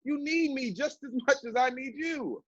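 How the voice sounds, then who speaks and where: A woman's voice: high voice, normal speed, lo-fi sound, lightly acted. A woman playing an exasperated, defiant assistant in a stage play, blunt in manner. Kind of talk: theatrical one-act stage play acting.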